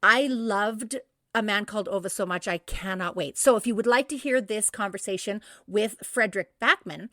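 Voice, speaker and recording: A middle-aged woman with a bright, forward voice co-hosts a podcast in a casual, enthusiastic style. The sound is dry and close. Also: medium pitch, moderate pace, dry recording. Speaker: podcast co-host. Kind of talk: casual event listings and chat.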